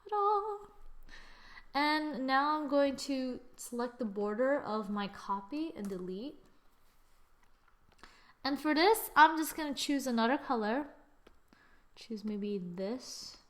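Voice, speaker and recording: A woman hosting a screen-recorded software tutorial, chatting as she works and correcting herself with little exclamations. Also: high-pitched, expressive, slow, long pauses. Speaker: tutorial host.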